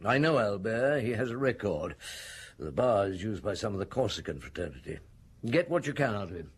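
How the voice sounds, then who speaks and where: An actor playing a shrewd, authoritative Paris police chief who questions in a probing, measured way in a radio drama. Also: male voice, on the low side, very animated, slight background noise. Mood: brisk, probing.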